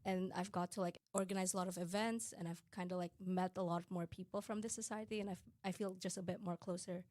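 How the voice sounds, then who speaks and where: A woman's voice: on the low side, steady tone, fast talker, hardly any pauses, darkish tone, no room sound, studio quality. A young woman from Indonesia, a student guest, chatting casually and filling her talk with "like". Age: young adult. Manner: chatty, with frequent "like" fillers. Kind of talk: casual chat.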